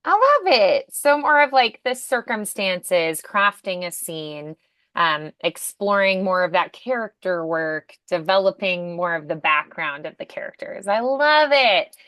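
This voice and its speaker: A woman's voice: lowish voice, expressive, measured pace, a bit bright, no echo, clean studio sound. A woman coaching a student in a casual one-on-one conversation, with an encouraging, affirming manner. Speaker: voice acting coach.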